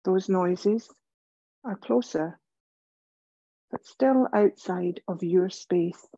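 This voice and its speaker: A woman leading a guided relaxation meditation as a yoga teacher, gentle and soothing, speaking in measured phrases with pauses. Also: on the low side, husky, dry recording, dull audio.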